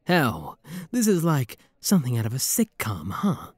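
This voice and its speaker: A man's voice: mid-range voice, wildly swooping pitch, a little slow, breathy edge, dry recording, studio quality, exaggerated voice. A man playing a playful, flirty demon prince with a teasing, theatrical manner in an intimate ASMR roleplay. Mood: amused, disbelieving.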